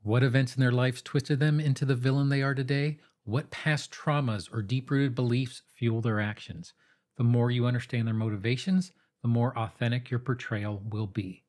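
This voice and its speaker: Male explainer host, mid-pitched, measured and instructive, reading prepared material with conversational asides. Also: steady tone, a little mellow. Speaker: host of tabletop roleplaying advice videos.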